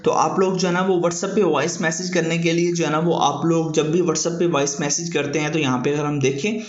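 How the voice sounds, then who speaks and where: A man's voice: on the high side, fairly even intonation, a little fast. A man hosts an informal app tutorial, explaining in a repetitive, filler-heavy way. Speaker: YouTube tutorial host. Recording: echoey room, dull muffled sound.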